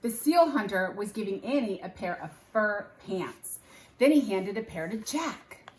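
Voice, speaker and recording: A woman reading a children's book aloud as a teacher, in deliberate, expressive storytelling phrasing with animated character voices. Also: medium pitch, roomy sound, poor audio.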